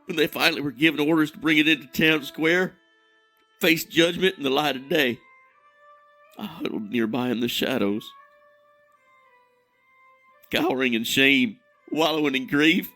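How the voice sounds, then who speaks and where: An actor playing an ashamed, grieving man who tells his tale with self-loathing and dramatic pauses. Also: a little high, bright, forceful tone, soft background music. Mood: bleak shame. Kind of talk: dramatic fantasy monologue in audio drama.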